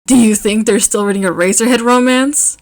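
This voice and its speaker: A woman voicing a loud, upbeat, caring man in a story, casual and emphatic, like a teasing best friend. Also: on the high side, fairly quick, lightly acted. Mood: amused, teasing.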